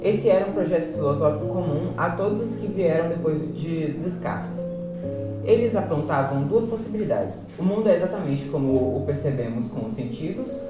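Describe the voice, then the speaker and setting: Guy acting as patient brazilian philosophy teacher explaining stuff, amateur radio play, echoey far off mic, loud music. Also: fairly high pitch, lively intonation, moderate pace, lightly acted. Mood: patient, explanatory. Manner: didactic, explanatory. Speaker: actor in a student radio drama. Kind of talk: amateur radio drama dialogue, didactic.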